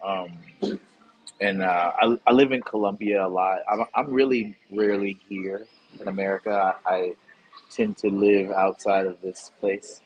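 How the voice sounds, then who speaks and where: A man's voice: deep voice, steady tone, unhurried, muffled recording. A man hosting a casual conversation with a stranger outdoors at the beach, rambling, restarting his sentences and laughing often. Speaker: host interviewing a stranger.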